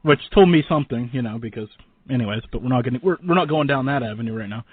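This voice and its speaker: A man's voice: mid-range voice, animated, rapid-fire, very noisy. A man hosting a casual interview, speaking informally and effusively in a rambling way, with narrow phone-like audio. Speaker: radio show host. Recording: narrow phone-like radio sound, dry.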